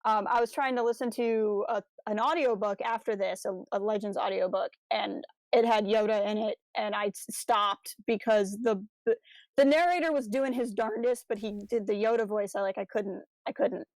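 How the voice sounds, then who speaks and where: A woman's voice: mid-range voice, fairly even intonation, normal speed, dry recording, low-quality recording. A woman co-hosting a casual fan podcast, chatting with playful, jokey enthusiasm and drifting into asides. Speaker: podcast host.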